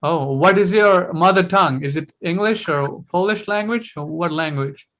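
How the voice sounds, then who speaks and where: A man's voice: high voice, moderate pace, a bit bright. A man hosting a casual video-call discussion, chatty and full of questions and fillers. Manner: chatty, asks lots of questions, many fillers. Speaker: host.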